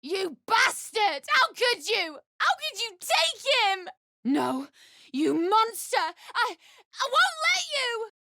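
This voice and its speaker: Game role: grieving, bitter young widow, slow emotional delivery, very dry recording. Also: female voice, extremely high pitch, very animated, bright, forceful tone, no room sound, exaggerated voice. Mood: horrified, angry. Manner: emotional, pleading, blunt. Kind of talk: video game character dialogue.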